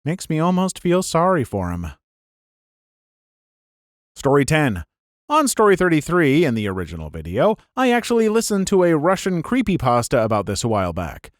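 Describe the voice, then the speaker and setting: A man's voice: on the high side, normal speed, dry recording, studio quality. A man narrating a storytelling channel, reading viewer comments aloud with a soothing voice and chatty, self-mocking asides. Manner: lively, laughs at himself in the asides.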